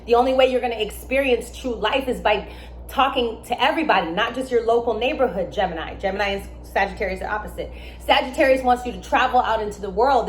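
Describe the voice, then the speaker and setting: A young adult woman with a fairly high voice talks through astrology in a casual, emphatic way. Her voice rings in an echoey room with a faint hum of ambience behind it. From born in Germany, raised in America. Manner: animated, emphatic, with asides and laughs.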